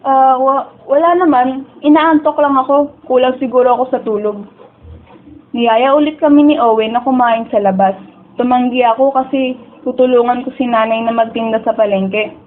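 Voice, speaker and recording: A woman's voice: a little high, steady tone, normal speed, lightly acted. A woman with a Filipino accent playing a wistful, lovestruck young student who narrates her dreams in a radio drama. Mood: reflective. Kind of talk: first-person radio drama narration and dialogue. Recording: dull lo-fi audio, music bed. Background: background music.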